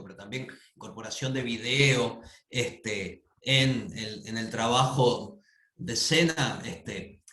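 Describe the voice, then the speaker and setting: A man speaks over a video call in an echoey room, at a middling pitch. He is thoughtful and hesitant, and he fills his pauses often as he works through his ideas at an academic panel. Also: a bit bright. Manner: thoughtful, hesitant, frequent fillers. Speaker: conference panelist. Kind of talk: academic discussion comment. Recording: roomy echo.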